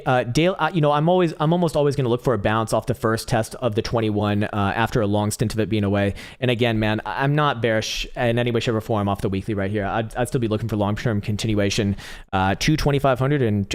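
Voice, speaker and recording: Fast, profane man, a bit hoarse. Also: medium pitch. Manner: rapid, profane, laughs often, restarts words. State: losing his voice. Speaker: livestream host, crypto chart analyst. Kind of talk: casual live-stream chart commentary.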